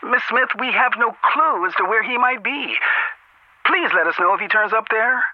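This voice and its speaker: A man's voice: sits high, no room sound, voice pushed up, natural voice. Man voicing a frantic nursing home worker. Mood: distressed. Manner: breathless, rushed. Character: distressed female nursing home staffer on phone. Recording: muffled telephone line sound.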